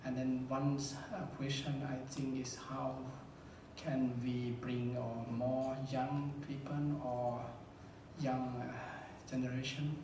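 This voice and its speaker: A man from Thailand, speaking halting, simple English as an audience member at a panel. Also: medium pitch, level tone. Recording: echoey hall, distant mic, background noise.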